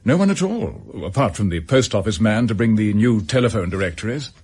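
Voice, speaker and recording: An earnest police detective in a radio drama. He is English, practical and businesslike, with a lively pitch range and a slightly rough, husky tone. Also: fairly quick.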